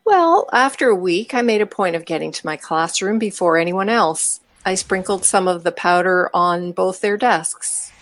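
A woman's voice: fairly low pitch, no echo. A woman playing a mischievous, eccentric woman chatting with a friend in an audio drama. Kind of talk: amateur audio drama dialogue.

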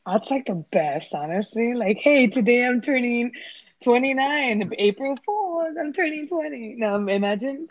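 A woman's voice: on the high side, animated, a little slow, no room sound, muffled recording. A woman in her twenties co-hosting a casual student chat, playful and giggly, often saying dude. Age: 20s. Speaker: podcast co-host.

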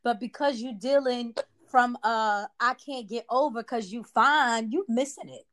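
A woman's voice: fairly high pitch, a little slow, fairly bright tone. A woman co-hosting a casual chat, making her points emphatically and drawing responses in a preachy call-and-response way.

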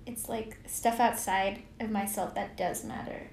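A woman's voice: medium pitch, fairly even intonation, a little slow, darkish tone, roomy sound, slight background noise. A woman in her thirties chatting on a podcast, thinking things through aloud. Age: 30s. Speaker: podcast co-host. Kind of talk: casual chat.

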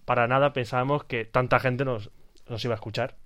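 A man's voice: medium pitch, fairly even intonation, fairly quick, fairly bright tone. A man with a Spanish accent co-hosting a podcast, making sarcastic jokes in casual chat. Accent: Spanish from Spain. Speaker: podcast co-host.

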